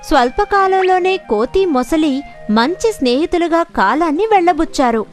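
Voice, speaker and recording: High-pitched, lively woman storyteller. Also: normal speed, soft background music. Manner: expressive storytelling. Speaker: story narrator. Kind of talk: scripted children's story narration.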